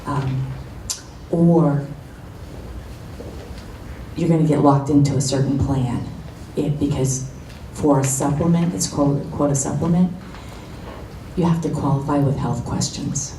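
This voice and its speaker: Woman presenter, soft-spoken, low voice, informal talk, echoey meeting room, distant mic, background noise. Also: fairly even intonation, a little slow, long pauses. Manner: soft-spoken, nervous at the start. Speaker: guest speaker.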